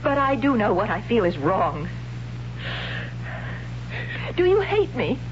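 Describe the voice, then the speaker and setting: A troubled young warrior woman caught in a forbidden love, halting and close to tears. Her voice sits a little high and she talks very slowly. Dull, hissy old radio sound. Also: sing-song. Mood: torn. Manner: halting, hesitant, breaking into tears. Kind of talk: 1970s radio drama acting. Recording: muffled old radio broadcast with hiss.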